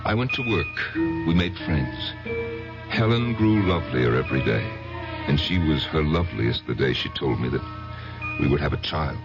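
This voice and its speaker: An actor playing an insecure, besotted husband who recounts his past in a halting, self-deprecating, dramatic old-time radio style. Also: very deep voice, lively intonation. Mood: wistful. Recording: dull lo-fi old radio broadcast, music behind.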